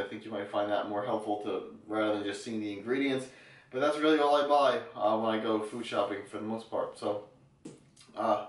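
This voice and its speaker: Relaxed young man chatting to camera. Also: medium pitch. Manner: relaxed, explanatory, filler-heavy. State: getting sick. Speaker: fitness vlogger. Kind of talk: casual vlog talk to camera.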